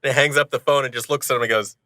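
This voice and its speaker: A man's voice: mid-range voice, steady tone, very fast, pushed and bright, no room sound. A man casually telling stories as a guest in a group conversation. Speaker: podcast guest, audio drama maker.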